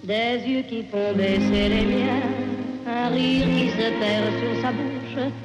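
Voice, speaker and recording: A woman's voice: fairly high pitch, steady tone, takes it slowly. A woman singing a chanson in an echoey recording with music. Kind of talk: slow sung French chanson. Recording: echoey vocal with loud music.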